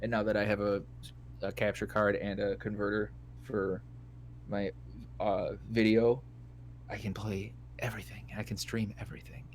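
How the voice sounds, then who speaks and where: Laid-back low-voiced podcast host. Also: male voice, moderate pace, slight background noise. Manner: relaxed, joking, reads in a mock newscaster voice. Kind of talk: casual gaming chat, reading list items aloud.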